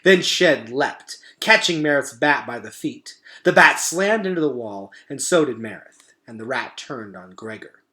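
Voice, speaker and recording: Animated man reading an adventure story aloud. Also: mid-range voice, measured pace, hardly any pauses. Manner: animated, suspenseful delivery. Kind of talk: dramatic children's novel read-aloud.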